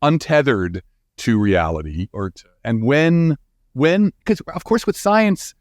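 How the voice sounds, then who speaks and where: A man with a mid-range voice that swings widely in pitch, explaining ideas as an interviewed scientist. He sounds enthusiastic and emphatic, often checking in with a quick "right?". The sound is close and dry. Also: sing-song, no room sound. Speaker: guest scientist being interviewed. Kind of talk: animated conversational interview.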